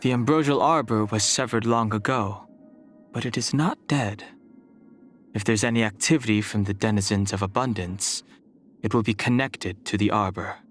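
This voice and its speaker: A man voicing a stoic, reserved young dragon-descended warrior in a video game, calm, formal and solemn, burdened by his past. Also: fairly low pitch, moderate pace, soft background music, natural voice. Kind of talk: video game character voice lines.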